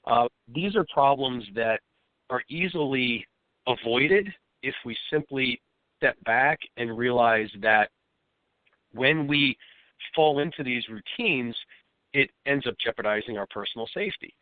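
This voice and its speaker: A man calls in as a guest on a radio show, sounding a little raspy from a cold. His explanations are steady and full of fillers. The audio is thin, tinny and completely dry, like a phone call. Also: medium pitch, a little slow, no room sound. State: has a cold, slightly raspy. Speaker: self-defense instructor guest. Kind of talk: radio interview.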